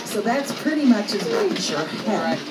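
A woman's voice: on the high side, very animated, normal speed, a loud crowd. A woman working as a tour guide, narrating a ride in an upbeat, jokey way and quizzing the riders. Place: open-air tram ride outdoors. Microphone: recorder's phone, guide some distance away. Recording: distant echoey voice over loud outdoor noise.

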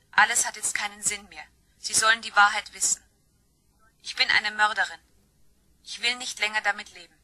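A woman's voice: medium pitch, pushed and bright, dry recording, poor audio. An actress playing a composed, earnest woman in a German crime radio play. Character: composed, earnest woman, murder victim's daughter.

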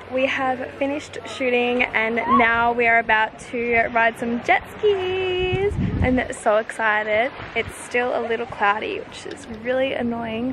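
A woman's voice: on the high side, background noise. A young woman, chatting casually and laughing often as she vlogs to a hand-held camera at a tropical resort. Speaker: vlogger. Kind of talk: casual travel vlog to camera. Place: tropical resort, outdoors and hotel room. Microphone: camera held at arm's length.

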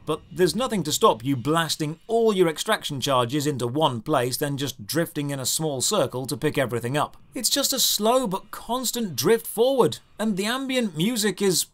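A man narrating a scripted video game review in a sardonic, deadpan way with dry commentary. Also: fairly high pitch.

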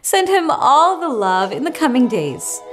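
A woman in her thirties co-hosting a music show, presenting and bantering in a playful, expressive way. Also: high-pitched, unhurried. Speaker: co-host of a music news show. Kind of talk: upbeat presenting and casual banter. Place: home music studio, indoors.

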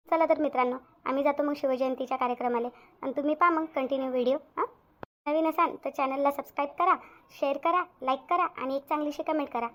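A woman's voice: sits high, muffled recording, lightly acted. A woman voicing a cheerful, folksy village woman hosting a cartoon channel, chatty, with a Vidarbha Marathi accent.

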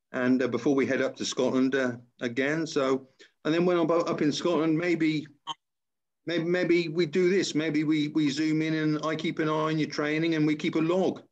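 A middle-aged man chatting casually on a video call like a motivational trainer, repeating his phrases to encourage. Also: on the high side. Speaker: personal trainer and interviewer. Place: small room indoors. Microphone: computer on a video call. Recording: dull lo-fi video-call audio.

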